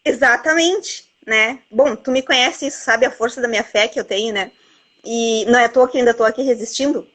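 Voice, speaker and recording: A talkative woman, her voice sitting a little high. She rambles warmly, tucking in tag questions and little asides as she talks over a book with its author. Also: moderate pace. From southern Brazil border region. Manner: rambling, lots of "né" and "assim, ó". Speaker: bookseller interviewing an author. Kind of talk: casual book chat.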